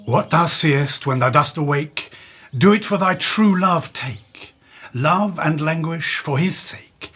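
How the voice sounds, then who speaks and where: A man acts a vengeful fairy king in a Shakespeare radio play. He intones spell verse slowly in a fairly high, sweeping male voice, menacing and deliberate over faint music. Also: a bit bright, slightly rough, full character voice.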